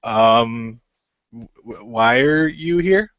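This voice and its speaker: A man's voice: mid-range voice, extremely slow, dull audio, a little hiss or hum. A man playing a reserved, uneasy young hero in an amateur radio play, hesitant and stiffly polite. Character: reserved, awkward, uncomfortable young male hero.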